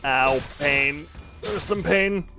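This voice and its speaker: A man's voice: on the high side, slow, sharp tone. A man giving live gameplay commentary with a wry, sarcastic manner and playful exclamations. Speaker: game streamer. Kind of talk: live gameplay commentary, reading dialogue aloud. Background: game music.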